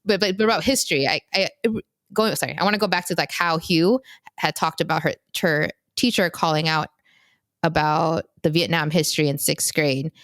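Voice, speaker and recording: Low-voiced woman rambling in casual chat. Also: moderate pace, fairly bright tone, dry recording. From United States. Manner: rambling, many "like" fillers and restarts. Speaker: podcast co-host. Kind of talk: casual chat among siblings.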